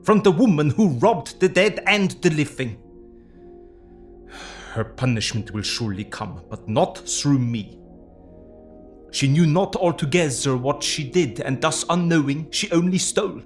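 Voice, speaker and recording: Old professor, audio drama: higher-set male voice, grave, righteous, deliberate, foreign phrasing. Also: animated, soft background music. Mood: stern, solemn.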